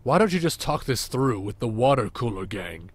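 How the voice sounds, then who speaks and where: An actor playing a crude, sarcastic, unhappily married boss in an audio drama, with deadpan delivery. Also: male voice, medium pitch, normal speed, slightly rough, no room sound.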